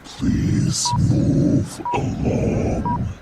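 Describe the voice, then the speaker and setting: An actor voicing a cold, commanding robotic police patrol unit with heavy electronic processing, speaking in impersonal orders. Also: extremely slow. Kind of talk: audio drama robot commands. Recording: very noisy electronic distortion.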